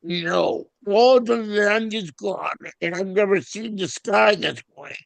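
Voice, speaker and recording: A man playing a weary, gentle luckdragon in a script read, speaking in a dry, understated way. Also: sits high, slow pace, gravelly, no room sound, full character voice. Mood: weary, grave. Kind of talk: table read of a film script.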